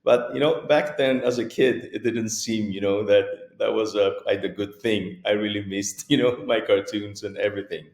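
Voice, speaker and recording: Greek man in his 40s, low and gravelly, easygoing guest on a video call, echoey room. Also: moderate pace, few pauses. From Greece. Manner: relaxed, chuckling, with frequent fillers. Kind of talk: casual video-call interview.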